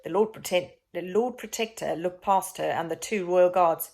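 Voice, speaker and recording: A woman reading a children's storybook aloud, expressive, dramatic and emphatic in her narration. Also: lowish voice, moderate pace, gravelly.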